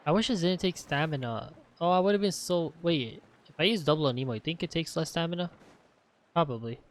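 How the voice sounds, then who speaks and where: A man's voice: fairly high pitch, fairly quick. A man giving casual, joking playthrough commentary as a gaming creator, slangy and offhand, often talking to himself. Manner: slangy, offhand, muttering to self.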